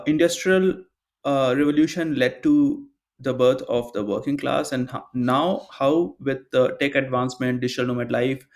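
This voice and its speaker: A young man hosting a podcast, asking interview questions in a measured way into a headset microphone. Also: medium pitch. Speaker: podcast host. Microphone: headset with boom mic.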